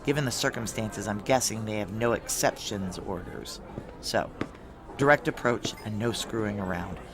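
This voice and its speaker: An actor playing a determined, tense security chief, narrating a noir audio drama in a clipped, urgent, hard-boiled manner. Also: male voice, on the low side, moderate pace, crowd noise, natural voice. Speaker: narrator and lead character.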